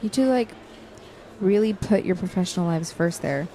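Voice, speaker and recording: A woman playing a supportive student journalist, gentle and lightly sarcastic, in naturalistic audio drama. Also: lowish voice, moderate pace, close to an everyday voice. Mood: gently sarcastic, concerned. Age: young adult.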